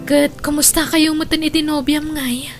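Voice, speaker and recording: A woman's voice: sits high, level tone, moderate pace, music in the background. A woman with an Ilocano accent playing a lonely, resentful young wife in an audio drama, plaintive and evasive. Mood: wistful.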